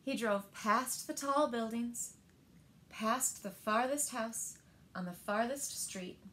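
A woman in her thirties reading a picture book aloud to children in a gentle, deliberate manner, pausing to ask them questions. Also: on the high side, a little hiss or hum. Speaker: library storyteller. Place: indoors, small living room by windows.

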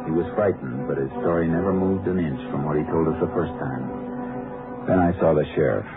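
Deep-voiced, dry radio lawman. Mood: dry, measured. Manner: dry, deadpan, measured questioning. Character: calm, skeptical male Texas Ranger investigator. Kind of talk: 1950s radio drama acting, first-person narration and dialogue. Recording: muffled vintage radio recording with music.

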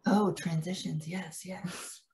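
A woman's voice: on the low side, fairly even intonation, slow, dark tone. A woman presenting an informal training workshop for teachers over a video call.

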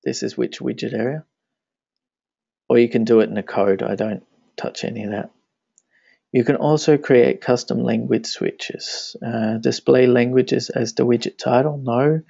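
A man with a gravelly edge to his mid-pitched voice talks casually through a software tutorial, narrating each click as he goes. He speaks English, though his first language is Danish. The recording is dry and dull, with mouse clicks behind him. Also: measured pace, no echo. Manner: casual, thinking aloud while clicking. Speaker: web tutorial instructor. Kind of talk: screencast tutorial walkthrough.

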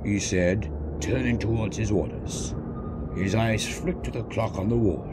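A man's voice: lowish voice, a little slow. A man reading a scripted story as narrator, in a dramatic storytelling manner. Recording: loud ambient noise behind voice.

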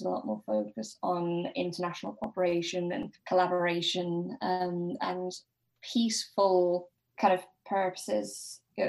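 Gravelly-edged woman thinking aloud. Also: fairly low pitch, darkish tone, poor audio. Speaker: podcast guest, academic researcher. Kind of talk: informal podcast interview discussion.

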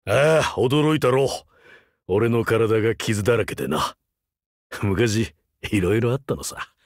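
A male actor playing a rugged older vampire hunter turned teacher in a game, wry, laconic and gruffly fatherly. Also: lowish voice, a little slow, no room sound, studio quality. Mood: gently weary. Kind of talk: Japanese mobile game character voice lines.

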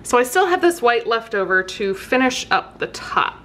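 A woman's voice: a little high, very animated, normal speed, fairly bright tone, slight background noise. A woman chatting casually through a craft tutorial, rambling and thinking aloud as she works. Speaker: soap maker hosting her own craft video.

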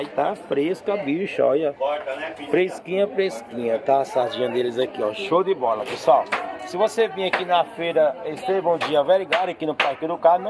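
A Brazilian man with a fairly high voice talks casually to his viewers as a street market vlogger. He is chatty and keeps tacking on short repeated tags. He is recorded outdoors with some crowd noise around him. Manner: chatty, repeats tag phrases.

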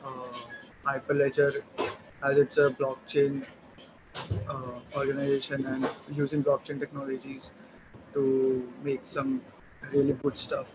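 A man introducing himself on a video call, speaking hesitantly with many fillers and repeated words. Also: medium pitch. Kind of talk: casual video call chat. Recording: dull lo-fi call audio with noise.

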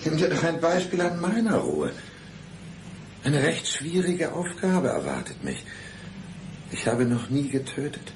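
An actor playing a calm, cold-blooded would-be killer in a radio play, measured, deliberate and coolly menacing. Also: male voice, fairly high pitch, noisy background, exaggerated voice.

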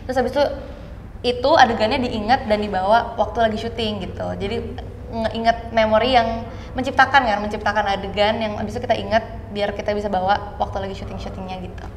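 A woman's voice: medium pitch, fairly even intonation, fast, roomy sound, long reverb tail, slight background noise. A young woman from Indonesia answering interview questions casually, mixing English words into her slangy talk. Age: young adult. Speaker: actress being interviewed. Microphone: clip-on lapel mic.

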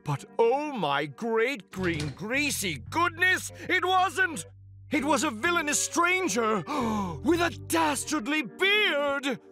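A man playing an excitable, silly storybook narrator for a children's cartoon, with a playful, exaggerated, mock-dramatic delivery. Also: extremely high pitch, slow pace, faint music behind, heavily acted.

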